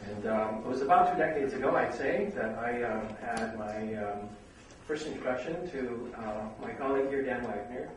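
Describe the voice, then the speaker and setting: A man's voice: medium pitch, moderate pace, hardly any pauses. Hesitant middle-aged man hosting a seminar. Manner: hesitant, full of fillers. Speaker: seminar host, vice dean. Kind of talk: opening remarks at a university seminar. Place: lecture room. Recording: echoey room, distant mic, noisy.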